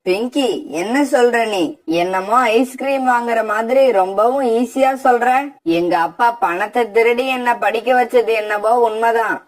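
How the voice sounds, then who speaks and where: A woman voicing a young male bird student in a children's cartoon, earnest and quick to protest. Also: fairly high pitch, lightly acted, rough recording.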